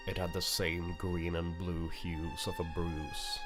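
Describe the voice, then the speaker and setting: A man reading a visual novel aloud as narrator, in a grave, deliberate storytelling manner. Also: sits low, flat delivery, over loud music.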